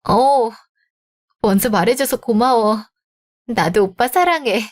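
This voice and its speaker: A woman's voice: fairly high pitch, slow. A woman in her thirties narrating and voicing the heroine of a radio drama. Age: 30s.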